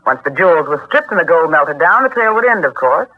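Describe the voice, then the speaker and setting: An actress playing a nervy, hot-headed murderous wife in a 1940s radio crime drama, defiant and snappish. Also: female voice, deep voice, very animated, a little fast. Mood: cold, impatient. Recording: dull vintage 1940s radio recording.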